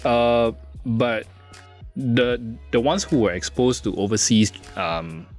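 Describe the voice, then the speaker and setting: A man with a Singaporean accent hosting a casual career talk show, asking measured questions. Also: medium pitch, faint music behind. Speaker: host. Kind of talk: casual chat.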